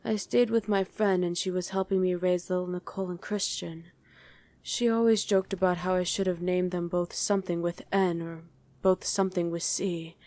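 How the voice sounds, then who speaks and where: A woman voicing a guarded demon who was once a human mother, in an intimate ASMR roleplay with halting, emotional delivery. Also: fairly low pitch, warm tone, close to an everyday voice. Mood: wistful, pained. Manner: halting, emotional, speaks directly to one listener.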